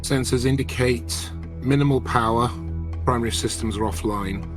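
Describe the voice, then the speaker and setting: A man's voice: mid-range voice, normal speed, over music, natural voice. A man playing a businesslike starship officer in a role-play game, reporting in a formal, matter-of-fact way.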